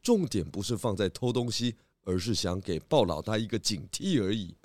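A man playing a calm, kindly pig detective in a children's audio drama. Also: on the low side, normal speed, no room sound, natural voice. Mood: sleepy, pondering. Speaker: detective lead of children's story podcast.

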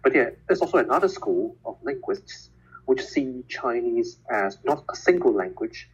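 Husky-voiced man with a Cantonese first language. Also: mid-range voice, expressive, lo-fi sound. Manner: measured, explanatory, frequent filler words. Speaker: guest, physicist and educator. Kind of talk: casual conversation over a call.

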